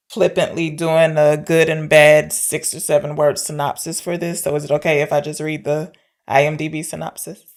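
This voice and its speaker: A woman co-hosting a podcast, chatting informally about films and thinking aloud as she goes. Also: low-pitched. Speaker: podcast co-host discussing films. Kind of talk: casual film discussion.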